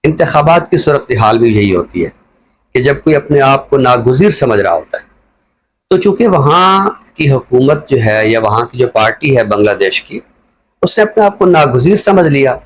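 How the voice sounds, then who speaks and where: A man with a Pakistani accent and a mid-pitched voice speaks as a guest commentator. He explains his views thoughtfully and methodically, laying out parallel examples one after another in a measured manner. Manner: measured, explanatory, builds points through repeated parallel phrases. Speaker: guest commentator answering a host's questions. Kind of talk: thoughtful spoken analysis in an interview.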